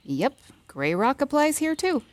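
A woman's voice: sits high, sing-song, unhurried. An actress playing a wry, reassuring woman advisor in an audio drama, talking in a blunt, casual way. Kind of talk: fiction podcast drama acting.

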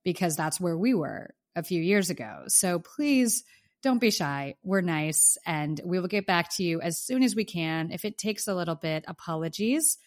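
A woman's voice: on the low side, animated, normal speed. A woman co-hosting a casual podcast while sick with the flu, chatty and grateful. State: sick with the flu, may cough. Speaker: podcast co-host. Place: home in Los Angeles.

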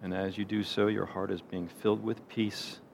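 Low-voiced man leading a gentle guided meditation. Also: flat delivery. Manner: gentle, unhurried, soothing delivery with pauses. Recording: clear background noise, low rumble.

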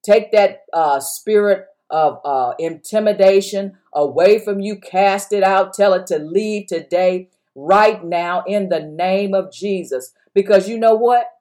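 A woman preaching with a strong, full voice at an ordinary pitch. She exhorts her listeners and drives each point home by repeating it. Her pace runs slow, then quick. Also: mellow. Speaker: pastor. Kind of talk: sermon podcast.

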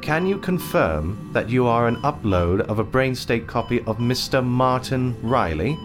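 A man voicing a calm, businesslike male doctor, clinical and matter-of-fact, with soft music behind. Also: mid-range voice, unhurried, voice pushed up, close to an everyday voice. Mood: detached.

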